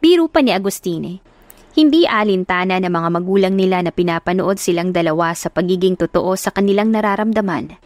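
A woman narrating a love story for an audiobook in a steady storytelling tone. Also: mid-range voice, dry recording.

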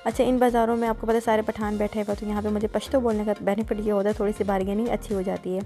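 Chatty female vlogger, mellow tone, light music underneath. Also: mid-range voice, level tone, very fast. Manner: chatty, explains prices step by step. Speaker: vlogger touring a market. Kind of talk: casual voice-over narration.

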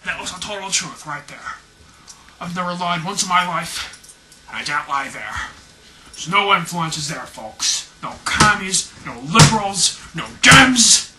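A man's voice: sits high, animated, a little slow. A young man playing a boastful, needy, scheming ex-president and storyteller in an amateur comedy skit, theatrical and self-important. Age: young adult. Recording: noisy home camcorder audio.

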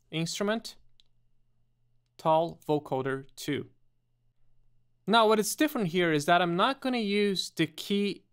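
A man presenting a step-by-step software tutorial in a methodical, explanatory way. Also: high-pitched.

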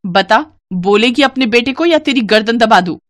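Woman voicing a scheming, taunting young daughter-in-law, animated. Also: a little high, normal speed, background noise, lightly acted. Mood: sly, taunting. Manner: taunting, bossy.

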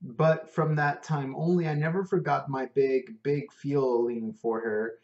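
A man voicing a gentle, small young taxi driver with an Indian English accent, talking in an earnest, halting way. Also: medium pitch, fairly even intonation, close to an everyday voice. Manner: earnest, halting, open-hearted. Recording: roomy, slightly dull recording.

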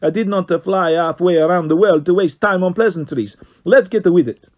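A man's voice: fairly quick, a little mellow. A man voicing an impatient male Italian greyhound crook with a put-on Italian accent and broken English. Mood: boastful. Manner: high, pushy, broken English. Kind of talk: storybook reading.

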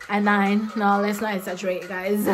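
A woman's voice: mid-range voice, moderate pace, music in the background. Playful young woman chatting to camera. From Ghana. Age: young adult. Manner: playful, animated, laughing often. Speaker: vlogger. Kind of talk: casual chat to camera. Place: small room indoors.

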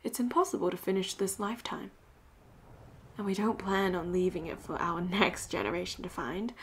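A woman voicing a flirtatious, teasing, commanding pirate captain in an intimate audio roleplay, often dropping into breathy whispers. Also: lowish voice, moderate pace, a little hiss or hum, close to an everyday voice. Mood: earnest.

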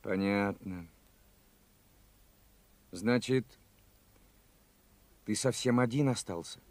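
An actor in a radio play playing an earnest, idealistic young revolutionary soldier with a fervent, open-hearted way of talking. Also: male voice, on the low side, slow pace, dry recording. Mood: quiet concern. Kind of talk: Soviet radio play acting.

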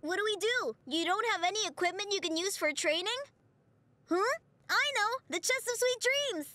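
Very high-pitched, excitable little cartoon boy. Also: normal speed, dry recording, heavily acted. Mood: concerned. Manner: eager, childlike. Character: cute, excitable little boy in rabbit suit. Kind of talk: children's cartoon dubbing. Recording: loud music behind the voice.

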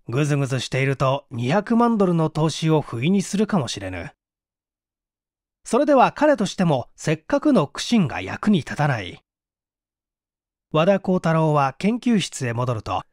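A man's voice: fairly high pitch, no echo, clean studio sound. A man narrating an audiobook in a dramatic storytelling style.

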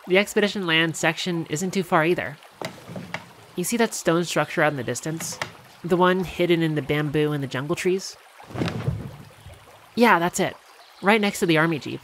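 A performer voicing a cheerful, affectionate girlfriend on a theme park date, speaking gently and unhurriedly in a one-sided ASMR roleplay. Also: medium pitch, background noise, close to an everyday voice. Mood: upbeat. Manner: gentle, unhurried, softly conversational.

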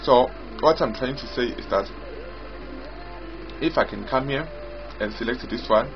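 A man giving an unscripted software walkthrough as its developer, explaining haltingly and repetitively in short phrases. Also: mid-range voice. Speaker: software developer demonstrating own app. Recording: dull lo-fi audio with loud music. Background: loud music.

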